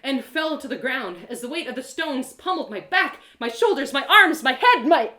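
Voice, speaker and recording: A woman voicing an excitable, plucky young girl adventurer who narrates her quest with dramatic, colloquial asides. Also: high voice, moderate pace, roomy sound, voice pushed up, exaggerated voice. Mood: panicked. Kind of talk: first-person dramatic story narration.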